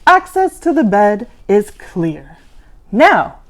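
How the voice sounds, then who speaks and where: Storybook monster teacher, male, played by a woman: stern, exacting, drawn-out scolding, emphatic swings in pitch. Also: sing-song. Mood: exacting, instructive. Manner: slow, scolding, instructive delivery.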